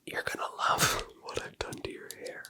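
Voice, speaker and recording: An ASMR performer whispering softly and teasingly, close to the mic, in an unhurried roleplay as a barber. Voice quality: breathy whisper. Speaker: ASMR creator playing a barber in a haircut roleplay. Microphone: close-up ASMR microphone.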